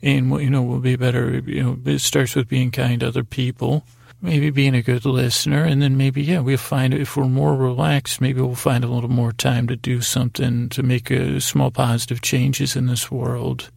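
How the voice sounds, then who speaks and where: A man hosting a bedtime podcast with a gravelly, creaky voice, rambling in a halting, repetitive, folksy and digressive monologue. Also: mid-range voice, level tone, a little mellow, no room sound, studio quality. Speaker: podcast host.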